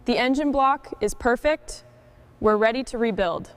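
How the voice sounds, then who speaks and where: A woman with a somewhat high-pitched voice reads scripted narration. She presents mechanical restoration work in short, simple statements. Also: expressive, moderate pace, clear, resonant tone.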